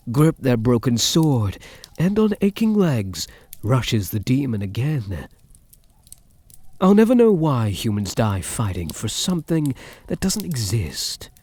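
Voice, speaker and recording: A man playing a possessive, seductive vampire count in a soft-spoken ASMR roleplay, teasing and menacing in an intimate, deliberate way. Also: lowish voice, no room sound, exaggerated voice. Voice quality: soft, husky.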